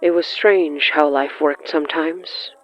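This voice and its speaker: A dull, lo-fi recording with faint music underneath carries a narrator of horror fiction. The reading is very slow and brooding, dramatic in its delivery, with long pauses left between phrases. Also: medium pitch, fairly even intonation. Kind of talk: scripted horror fiction narration, third person. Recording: muffled lo-fi sound with music underneath.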